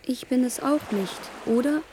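An actress playing a mournful, defiant virtual daughter in a science-fiction radio play, speaking in a deliberate, trance-like way. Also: female voice, high-pitched, dark tone, slight background noise. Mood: doubting, sad. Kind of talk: German radio play acting.

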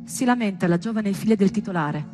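A woman performing a scripted theatrical monologue, storytelling with deliberate pauses and emphatic, dramatic delivery. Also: mid-range voice, animated, dark tone, faint music behind. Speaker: stage performer.

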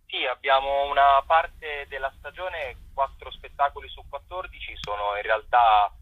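A man, a theatre director, explaining his theatre's programme in a measured way during an interview. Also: medium pitch, no room sound, narrow, tinny audio. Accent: Roman Italian.

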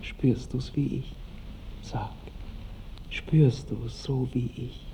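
A man's voice: mid-range voice, fairly even intonation, drawn-out words, creaky, mellow, a little hiss or hum, rough recording. An actor playing a tender, protective young nobleman in love, speaking earnest lyrical verse in a 1960s radio drama. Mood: tender, enraptured. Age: young adult.

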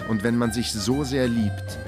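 A man's voice: mid-range voice, steady tone, a little slow, over music, lightly acted. An actor playing a trapped, wryly self-mocking man who narrates his own story in a radio crime drama. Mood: dreamy, wry. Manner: wry, matter-of-fact musing. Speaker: narrator and lead character.

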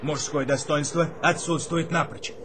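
An actor playing an earnest, flustered junior army officer who reports formally and hesitantly in a radio drama. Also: male voice, fairly high pitch, steady tone, unhurried, fairly bright tone. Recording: faint background ambience noise.

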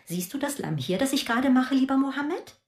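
A woman's voice: sits high, lively intonation, brisk, darkish tone, slight background noise. An actress playing an angry, proud middle-aged mother who rants with sarcasm in a radio drama. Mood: bitter.

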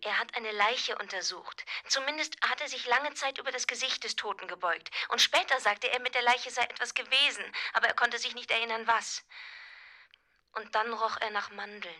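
An actress playing a practical deaconess who sounds urgent and insistent in a radio drama. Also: female voice, fairly high pitch, normal speed, sharp tone. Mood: worried, urgent. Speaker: actor in a radio play. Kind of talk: German radio crime drama dialogue.

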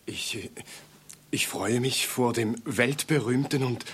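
A meek, prim and persistent admirer, speaking in a husky, mid-pitched voice. He is deferential and careful, hesitating over his words with fawning reverence. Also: takes it slowly. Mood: timid, deferential. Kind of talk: German radio play acting.

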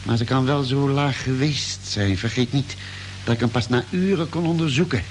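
A man playing a calm, caring spaceship doctor with a measured, deliberate way of explaining, in a vintage Dutch radio drama. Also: mid-range voice, close to an everyday voice. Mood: thoughtful, explaining. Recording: noisy old radio drama recording.

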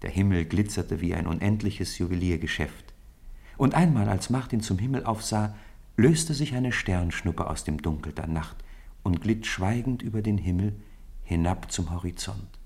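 A man narrating a radio play as a gentle, measured storyteller, with a gravelly, husky voice. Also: low voice, animated, full character voice. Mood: measured, fond. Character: measured, gentle male storyteller of a Christmas tale.

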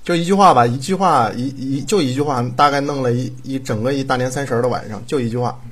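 Husky man, rambling emphatically. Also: medium pitch, quick pace. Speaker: audio drama director. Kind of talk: casual chat.

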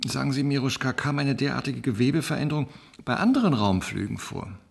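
An actor playing a curious, wry scientist in a German radio drama, with a gravelly, husky voice. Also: male voice, mid-range voice, animated, brisk. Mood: curious, thoughtful. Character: curious, wry male scientist reviewing brain scans.

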